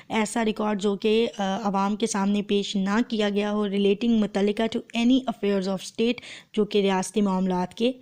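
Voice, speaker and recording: A woman's voice: medium pitch, darkish tone. A woman with a Pakistani accent giving an explanatory law lecture, methodical and didactic, translating formal text phrase by phrase and restating each point.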